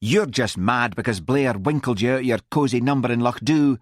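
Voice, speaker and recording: A man's voice: medium pitch, lively intonation, moderate pace, dry recording, clean studio sound, voice pushed up, natural voice. A man playing a whining, sing-song Scottish policewoman. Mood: sneering, aggressive. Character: sour, contemptuous young policewoman, whining and accusing.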